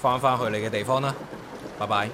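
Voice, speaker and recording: A man's voice: medium pitch, steady tone, a little fast, very noisy, lightly acted. A man with a Hong Kong accent playing a grumpy, solitary, sarcastic man in a comic radio drama, grumbling and muttering to himself. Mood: reluctant, apologetic. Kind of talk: comic radio drama acting and inner monologue.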